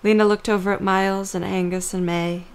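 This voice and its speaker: Creaky-voiced woman narrating, even delivery. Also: medium pitch, fairly quick. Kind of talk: scripted story narration for a fan-made audio drama.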